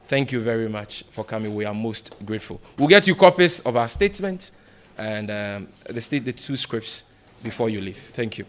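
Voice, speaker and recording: A man with a Ghanaian accent speaking as an opposition party spokesperson at a press conference, in a formal, deliberate way with emphatic repetition. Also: on the low side, animated. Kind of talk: press conference remarks, answering questions.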